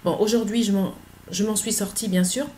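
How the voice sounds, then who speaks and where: A middle-aged woman, talking earnestly in a personal vlog, pausing often with hesitant fillers. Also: mid-range voice, fairly even intonation, warm tone, a little hiss or hum. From Côte d'Ivoire. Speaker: author.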